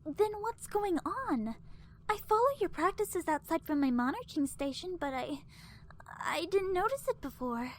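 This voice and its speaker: A woman's voice: very high voice, sing-song, normal speed. A woman playing an earnest, probing young military lieutenant in an anime parody, respectful yet frank. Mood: concerned, careful. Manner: deferential but frank, careful.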